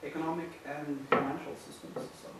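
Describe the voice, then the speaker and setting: A man's voice: fairly high pitch, flat delivery, brisk. A man introducing himself at a workshop, hesitant. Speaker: workshop participant. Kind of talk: self-introduction. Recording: echoey room from far off, noisy.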